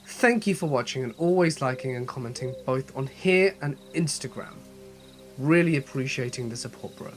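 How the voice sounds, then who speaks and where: A man narrating horror stories as a channel host, reading in a measured, steady way with a voice slightly off from a cold. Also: medium pitch, faint music behind. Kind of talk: scripted horror story narration.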